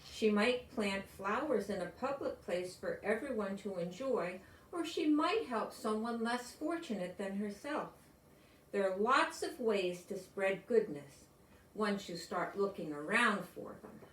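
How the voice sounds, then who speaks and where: Slow-paced older American woman reading a storybook. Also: medium pitch, expressive, a bit bright, slight background noise. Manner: deliberate, explanatory, talking to young children. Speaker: storybook reader. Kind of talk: read-aloud children's story narration. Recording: roomy echo, distant from microphone.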